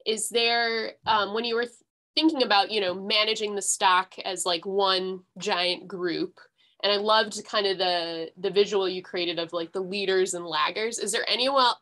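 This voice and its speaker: Bright-voiced woman moderating a webinar. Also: medium pitch. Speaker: host and question moderator. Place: indoors, on a video call. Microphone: video call computer microphone.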